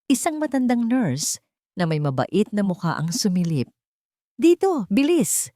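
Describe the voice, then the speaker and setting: A woman's voice: fairly low pitch, very animated, normal speed, dark tone, dry recording, studio quality. A woman storyteller giving scripted, dramatic narration of a story.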